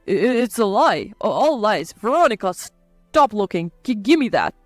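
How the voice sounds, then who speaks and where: A woman's voice: mid-range voice, big pitch swings, moderate pace, no echo, faint music behind, lightly acted. Woman voicing a flustered, stammering, defensive husband. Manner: stammering, pleading. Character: defensive, flustered cheating husband, adult man. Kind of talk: scripted story dialogue.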